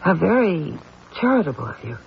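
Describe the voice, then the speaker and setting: An actor playing a stubborn, intuitive police detective in an old-time radio mystery, insistent and deliberate. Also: male voice, high-pitched, sing-song, creaky, full character voice. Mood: politely probing. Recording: dull, hissy old radio recording.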